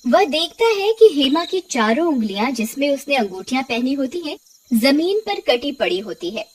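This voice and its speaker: A woman's voice: high voice, very animated, moderate pace, background noise. A woman reading scripted narration for a cartoon horror story.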